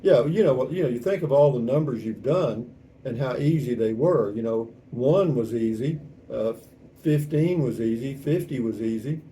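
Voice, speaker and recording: A man's voice: mid-range voice, normal speed, mellow. A man in his seventies with a Texan accent, chatting casually and reading out comments in a folksy, rambling, wry way. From South Texas, United States. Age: 70s. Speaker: vlog host. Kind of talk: casual chat, reading out and answering viewer comments. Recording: distant mic with faint background noise.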